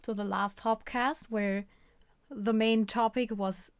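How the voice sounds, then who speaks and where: A woman co-hosting a casual podcast, tossing in quick witty quips. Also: a little high, fairly even intonation, smooth, dry recording. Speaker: podcast co-host.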